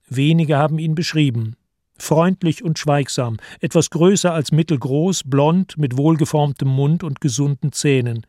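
Higher-pitched male narrator, dry studio sound. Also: mellow, no echo. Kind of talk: scripted literary narration for radio play.

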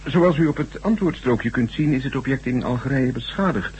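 An actor playing an obliging, principled chief computer programmer in a radio drama, measured, courteous and confiding. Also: male voice, mid-range voice, a little mellow, close to an everyday voice. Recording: noisy, humming old radio recording.

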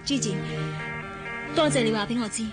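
Woman acting earnest young bride-to-be, hong kong cantonese, polite n fairly high voice, radio drama, music under it. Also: moderate pace, lightly acted. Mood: hurt, indignant. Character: earnest, proud young bride-to-be working in an office.

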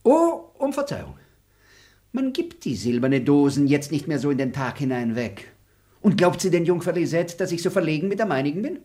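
A smug, stingy bailiff in a radio play, bragging and mocking with a lively, bouncing delivery. He mutters sly asides under his breath. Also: on the high side, moderate pace. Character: boastful, dim male bailiff, smug and stingy.

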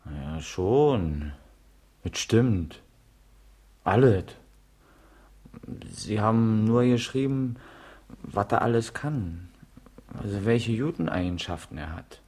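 Slow, low-voiced reserved schoolboy. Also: male voice, lively intonation, darkish tone, breathy edge, exaggerated voice. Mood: reserved, doubtful. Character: principled, hesitant male secondary-school pupil. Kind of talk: naturalistic radio drama acting.

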